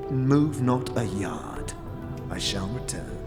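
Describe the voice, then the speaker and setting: An actor playing a sly, withered old scavenger boss in a fantasy audio drama, wheedling and cagey, with archaic, inverted phrasing. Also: male voice, medium pitch, expressive, slow, over music. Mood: grudging, indignant. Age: older. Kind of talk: audio drama character acting. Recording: long cavernous reverb.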